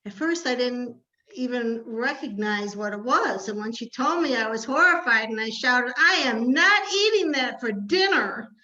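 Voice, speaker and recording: A woman reading a prepared personal story aloud with measured, dramatic phrasing. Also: a little high, lively intonation, sharp tone, muffled recording. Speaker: participant sharing a family memory.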